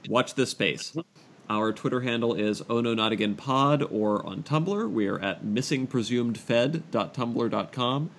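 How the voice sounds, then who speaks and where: A man hosting a podcast in casual discussion, halting and self-deprecating, repeating phrases as he works out his thought. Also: mid-range voice, a bit bright. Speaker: podcast host.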